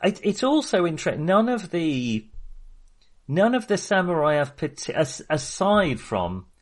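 A man's voice: a little high, big pitch swings, a little slow. Rambling, enthusiastic man chatting about films. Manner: rambling, enthusiastic, self-interrupting; sweary. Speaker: film discussion podcast host. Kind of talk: casual film discussion.

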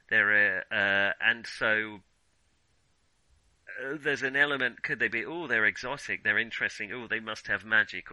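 Bright-voiced man, lively and halting. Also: mid-range voice, no echo, poor audio. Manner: hesitant, halting, thinking aloud; amused, chuckles while talking. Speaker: film podcast co-host. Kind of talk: casual film discussion.

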